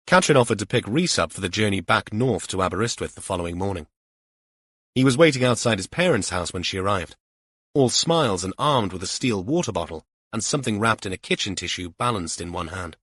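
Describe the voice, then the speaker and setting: A man's voice: lowish voice, lively intonation, brisk, a bit bright, slightly rough, no room sound. A man reading scripted fiction narration as an audiobook narrator.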